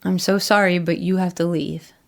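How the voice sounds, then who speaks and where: A woman playing a troubled former addict and ex-convict, telling her story plainly and haltingly in a horror audio drama. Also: lowish voice, steady tone, gravelly. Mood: confiding, uneasy. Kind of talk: first-person horror audio drama monologue.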